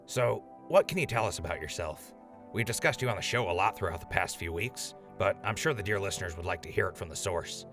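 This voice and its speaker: An actor playing a chatty, upbeat radio host in an audio drama. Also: male voice, sits low, expressive, brisk, music in the background. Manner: chatty, upbeat broadcaster patter. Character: eager male wasteland radio host. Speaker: radio show host in story.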